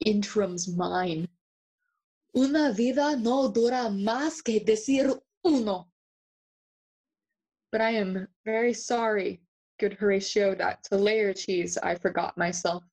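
A woman playing a brooding, mocking young Shakespearean prince in an audio play, theatrical and deliberate, moving between English and Spanish. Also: medium pitch, darkish tone, rough recording. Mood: intense, regretful.

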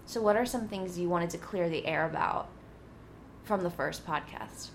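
Bubbly twenties woman hosting a podcast chat; teasing, loud on a close mic, faint background hiss. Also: lowish voice, moderate pace. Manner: bubbly, giggly, teasing banter. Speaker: podcast host.

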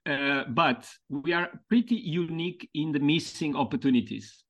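A man from Portugal with a Portuguese accent, a podcast guest speaking English as a second language in a thoughtful, halting way, stretching out key words. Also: on the high side, a bit bright, dull audio. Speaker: podcast guest, mentoring founder. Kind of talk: reflective conversational interview.

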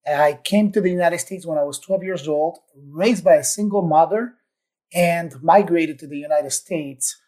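Upbeat high-voiced man from Ecuador. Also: moderate pace, darkish tone. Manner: upbeat, storytelling, enthusiastic. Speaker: podcast guest, entrepreneur. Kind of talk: casual interview chat.

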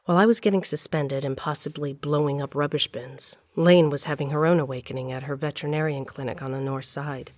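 A woman's voice: low voice, fairly even intonation, fairly quick, few pauses, darkish tone, close to an everyday voice. A woman playing a wry, middle-aged storyteller, narrating an audio drama in the first person with an intimate, confiding manner. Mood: storytelling.

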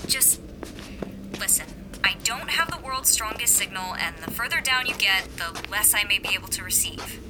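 An actress playing an anxious, sharp-tongued young woman on radio duty in a sci-fi radio drama, emotive and halting. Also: mid-range voice, animated, noisy background, natural voice. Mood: sardonic concern. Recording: loud music under the dialogue. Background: loud music.

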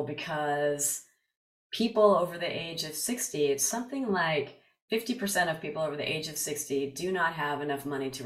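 A woman's voice: low-pitched, a bit bright. Upbeat woman podcast host, roomy sound. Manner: enthusiastic, upbeat intro then conversational. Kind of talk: casual interview chat.

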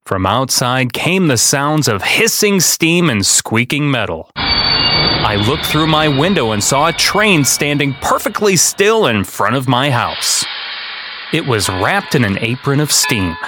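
A man, a podcast host, speaking in an expressive storybook narration style. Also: mid-range voice, unhurried, noisy background. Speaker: podcast host reading a children's book aloud.

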